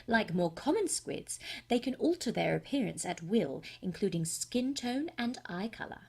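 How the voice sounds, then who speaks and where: A genial, quirky researcher acted by a woman presents findings in scripted video game narration. The voice has a creaky grain and an explanatory, measured delivery. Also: mid-range voice, sing-song, a little mellow, full character voice.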